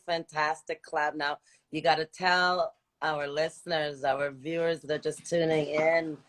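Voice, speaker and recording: A woman's voice: low voice, fairly bright tone. A woman hosting a casual live music interview, chatting informally and repeating phrases for emphasis. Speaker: radio show host interviewing a musician.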